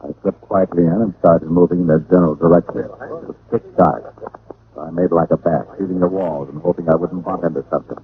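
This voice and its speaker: An actor playing a witty, hard-boiled American private detective, dryly narrating an old-time radio crime drama. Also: male voice, sits low, quick pace, phone-quality sound, a little hiss or hum. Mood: tense, watchful. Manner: dry, wisecracking. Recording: thin, band-limited vintage radio recording.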